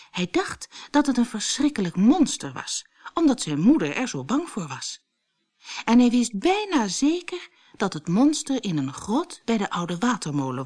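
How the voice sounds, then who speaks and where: Lively woman reading a children's story. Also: medium pitch. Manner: expressive storytelling, acts out dialogue. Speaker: audiobook narrator. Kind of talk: scripted children's story narration.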